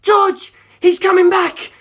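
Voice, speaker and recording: A man voicing a panicky, excitable wartime airman in an audiobook, yelling in exclamations. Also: extremely high pitch, slow, pitched above the performer's own voice, full character voice. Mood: panicked. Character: panicky, excitable male airman in First World War.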